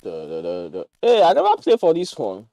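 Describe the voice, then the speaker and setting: A man's voice: fairly low pitch, fairly quick, gravelly, no room sound. A young Nigerian man giving loose, joking commentary while he plays on a livestream, slipping into pidgin slang. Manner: animated, jokey, mixes pidgin slang. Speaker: gaming streamer.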